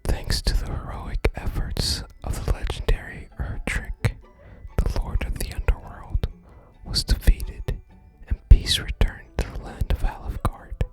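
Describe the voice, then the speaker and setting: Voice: measured pace, poor audio. Whispering ASMR gamer over game music. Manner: soft whispering, reads game text aloud. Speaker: host of a gaming ASMR channel.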